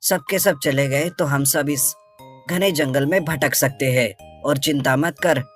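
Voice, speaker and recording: A man voicing a calm, reassuring camel in an animal story, steady and advising, with faint music behind. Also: a little high, a little slow, breathy edge.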